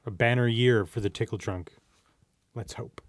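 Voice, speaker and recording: A man hosting a podcast, putting casual quick-fire questions to a guest in a playful, teasing way. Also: medium pitch, fairly even intonation, no echo, studio quality. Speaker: podcast host.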